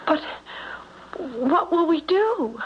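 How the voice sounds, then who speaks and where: An actress playing a flustered, torn young sweetheart who speaks hesitantly in a radio drama. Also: female voice, very high-pitched, lively intonation, drawn-out words, a little hiss or hum, full character voice. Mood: torn, pleading. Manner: hesitant, breaks off and repeats. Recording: muffled 1970s radio broadcast.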